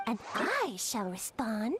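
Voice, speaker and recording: A woman's voice: a little high, very animated, takes it slowly, background noise. A woman voicing a smug, scheming little mushroom creature in a video game.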